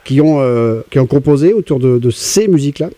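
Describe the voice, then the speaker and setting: A man's voice: medium pitch, expressive, brisk, warm tone, dry recording. A man hosting a radio music interview, chatty and leading the questions. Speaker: radio host.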